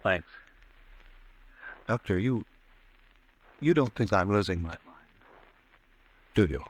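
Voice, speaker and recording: An actor playing an anxious, haunted businessman in 1940s radio suspense style, agitated and halting in his speech. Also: fairly low pitch, animated, breathy edge, exaggerated voice, rough recording. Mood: weary, uneasy. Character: haunted, anxious businessman fearing he is going mad. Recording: dry vintage radio drama recording.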